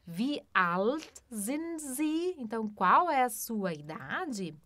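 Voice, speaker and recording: A woman with a Brazilian Portuguese accent teaching a German lesson. She explains patiently, often adds tag questions and slows down for the German examples. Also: on the high side, sing-song, a bit bright, dry recording. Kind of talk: language lesson.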